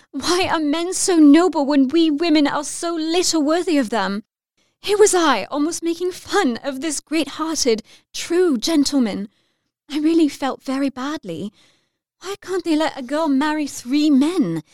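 A woman's voice: sits high, a little mellow, no echo, clean studio sound. An actress playing a romantic, effusive young Victorian lady, girlish and emotional, narrating a letter in an audio drama. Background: music.

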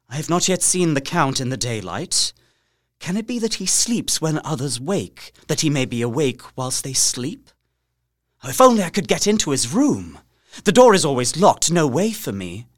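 An actor playing a desperate, haunted young Victorian gentleman trapped in a castle, narrating his journal with intense, deliberate, formal delivery in a gothic audio drama. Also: mid-range voice, dry recording, studio quality, lightly acted. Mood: desperate, resolute. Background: music.

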